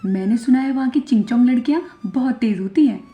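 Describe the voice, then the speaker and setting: A woman playing a suspicious, dramatic middle-aged mother-in-law in an animated moral story, exclaiming and complaining. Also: fairly high pitch, quick pace, lightly acted. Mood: cautioning. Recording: dull lo-fi audio, music behind.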